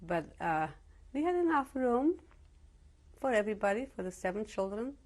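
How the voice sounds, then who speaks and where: A woman's voice: mid-range voice, very animated, moderate pace, a little mellow. A woman in her seventies from Czechoslovakia, whose first language is not English, recalling her early life in an oral history interview with frequent hesitations. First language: Yiddish or Slovak. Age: 70s. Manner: reflective, with frequent hesitations and restarts. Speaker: interviewee, Holocaust survivor. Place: home interview in Cleveland.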